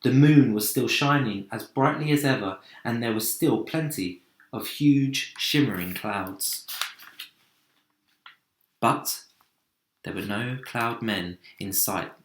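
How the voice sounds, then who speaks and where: A man's voice: mid-range voice, takes it slowly, long pauses. A man narrating a children's storybook expressively. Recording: roomy, echoing sound.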